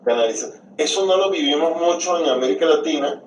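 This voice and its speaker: A man's voice: fairly high pitch, fairly quick, slight background noise. A man from Venezuela, hosting an interview, asking questions with hesitant restarts. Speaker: podcast host, interviewer. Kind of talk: casual conversational interview. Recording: roomy, distant from the mic.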